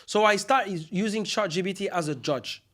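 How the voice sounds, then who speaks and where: A podcast host with a slightly high male voice leads a casual interview. His first language is Arabic, and he often stops and restarts while he looks for the right words. His tone stays conversational and curious throughout. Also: moderate pace, fairly bright tone. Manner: searching for words, many restarts and fillers.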